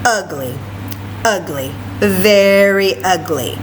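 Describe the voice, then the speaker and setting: A woman voicing mocking, disdainful schoolchildren from a storybook in a deliberate, drawn-out way. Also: fairly low pitch, slight background noise, poor audio. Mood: scornful.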